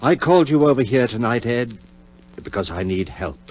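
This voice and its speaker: A man's voice: mid-range voice, expressive, normal speed, soft background music, lightly acted. An actor playing an earnest, obsessive male research scientist in a 1940s radio drama, speaking insistently and articulately. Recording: dull muffled 1940s radio recording.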